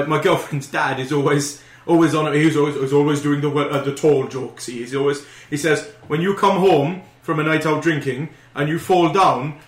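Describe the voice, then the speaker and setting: A man's voice: fairly high pitch, reverberant. A man co-hosting a podcast, chatting casually and telling stories, laughing often and swearing freely. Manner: quick, laughing, swearing storyteller. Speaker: podcast co-host. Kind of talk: casual chat.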